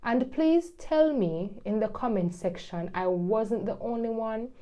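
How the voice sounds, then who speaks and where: A woman's voice: fairly high pitch, measured pace, mellow. A young woman, telling her story as an international student in a wry, chatty way, slipping into patois now and then. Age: young adult. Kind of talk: scripted vlog narration.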